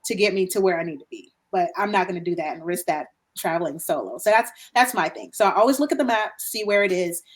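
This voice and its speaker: Woman guest chatting on a podcast, lively, laughs a bunch, repeats stuff for emphasis. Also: on the low side, fast, few pauses. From Guyana. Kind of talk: casual conversation.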